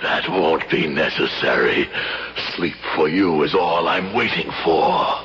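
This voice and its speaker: An actor playing a sinister ancient male ghostly double, slow and solemn, in a vintage radio drama style. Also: very deep voice, fairly bright tone, pitched below the performer's own voice, exaggerated voice. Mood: menacing. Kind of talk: 1970s radio mystery acting. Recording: muffled noisy 1970s radio recording.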